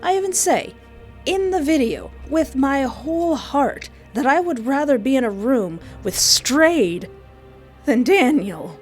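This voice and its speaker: A woman's voice: high-pitched, big pitch swings, slow. A woman video host giving scripted commentary with comic asides, leaning on single words with dramatic emphasis. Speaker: video host, visual novel streamer. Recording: background music under voice. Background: music.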